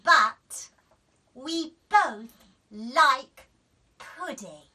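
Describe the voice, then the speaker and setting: Storybook girl played by a woman: small, stubborn, defiant, high-pitched, very slow delivery, a little off-mic. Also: expressive, bright, forceful tone, distant mic, exaggerated voice. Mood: defiant, knowing. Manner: slow, defiant, drawn-out refusals. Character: stubborn little girl refusing her dinner. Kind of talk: children's storybook character reading.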